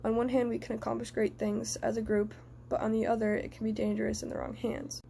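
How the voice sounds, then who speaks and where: Young adult woman, slightly high voice, mellow, measured personal reflection, faint background noise. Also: little pitch movement, gravelly. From United States. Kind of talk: prepared personal reflection, presented aloud.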